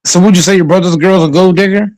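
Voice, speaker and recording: A man in his late twenties holds forth in loose, crude talk, repeating himself and stumbling over restarts as he builds a point up. His voice sits a little high for a man. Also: fairly even intonation, quick pace, darkish tone, low-quality recording. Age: late 20s. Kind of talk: casual profane chat.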